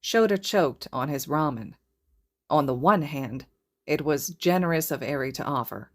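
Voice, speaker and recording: A woman's voice: sits low, animated, normal speed. A woman reading a scripted story aloud as a fiction narrator. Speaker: podfic narrator.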